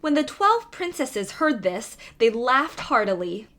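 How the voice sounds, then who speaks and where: A woman's voice: fairly high pitch, sing-song, a little slow, fairly bright tone. A woman reading a fairy tale aloud as a storybook narrator.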